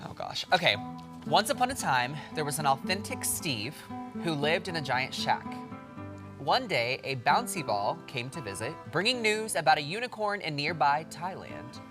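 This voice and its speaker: A woman's voice: low-pitched, big pitch swings, moderate pace. A woman co-hosting a TV show, chatting casually and reading a storybook tale aloud over guitar music. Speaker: TV show co-host. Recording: prominent guitar music behind.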